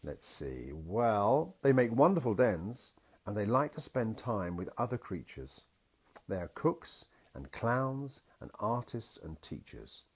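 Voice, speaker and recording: A man voicing a gentle, patient father in a picture-book story, reassuring his small daughter as he explains things. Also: fairly low pitch, sing-song, takes it slowly, breathy edge, pitched above the performer's own voice, exaggerated voice.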